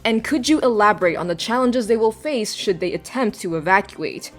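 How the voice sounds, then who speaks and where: A woman's voice: mid-range voice, lively intonation, brisk, soft background music, natural voice. Woman acting a formal female news anchor. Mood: formal, professional. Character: polished female news anchor hosting a broadcast. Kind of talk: news broadcast within an audio drama.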